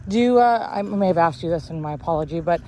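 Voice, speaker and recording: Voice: medium pitch, lively intonation, fast talker, a little mellow, slight background noise. A person talking casually as a partner in an informal conversation. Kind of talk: casual chat. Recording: music playing behind the voice.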